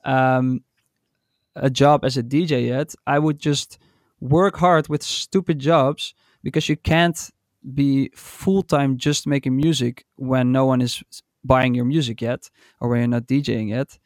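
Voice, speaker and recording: A man, a DJ, chatting in a relaxed, rambling way on a podcast. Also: medium pitch, measured pace, no echo, studio quality. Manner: relaxed, rambling, with fillers and laughs. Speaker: DJ and podcast co-host. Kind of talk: casual podcast chat.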